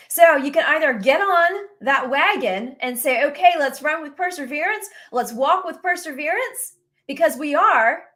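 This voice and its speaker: A woman's voice: sits high, a bit bright. A woman in her forties hosting a faith-based talk show, speaking earnestly with many rhetorical questions. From United States. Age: 40s. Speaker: radio show host.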